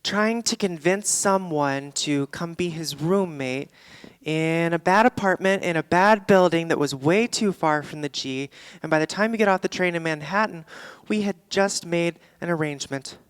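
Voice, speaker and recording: Woman acting brash storyteller, low clear voice. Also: normal speed, lightly acted. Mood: wistful, wry. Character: brash, candid woman telling her life story onstage. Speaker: stage actor in a staged reading. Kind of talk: theatrical monologue before an audience. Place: theatre stage, live performance.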